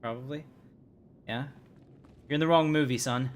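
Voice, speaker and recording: A man's voice: medium pitch, moderate pace. A man casually commenting on his gameplay during a livestream, chatty and joking with viewers. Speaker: livestream gamer.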